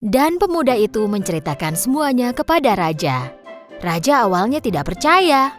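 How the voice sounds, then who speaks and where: A woman narrating a children's fairy tale in a lilting, expressive storybook manner. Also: a little high, moderate pace. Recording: clear music bed underneath.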